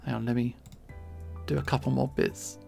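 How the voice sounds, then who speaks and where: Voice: medium pitch, flat delivery, moderate pace, mellow, soft background music. A streamer with a southeast English accent, chatting casually and playfully while drawing, pronouncing words with care. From southern England. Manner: clearly enunciated, pronounces T's; playful, chuckles often.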